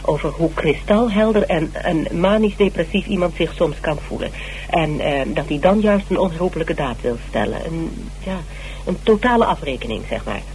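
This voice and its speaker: Man playing eager, higher-voiced academic. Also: expressive, normal speed, a little mellow, lightly acted, low-quality recording. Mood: excited, gossipy. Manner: eager, hesitant, repeating himself. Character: eager, fawning male criminology lecturer. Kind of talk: radio drama acting. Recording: hissy old radio recording.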